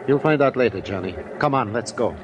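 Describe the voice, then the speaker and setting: An actor with an American accent playing a weary, cynical yet sentimental police lieutenant in a 1950s radio drama, sardonic and plain-spoken. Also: male voice, mid-range voice, expressive, brisk, highs cut off, lightly acted. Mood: urgent, grim. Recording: old radio recording with faint noise.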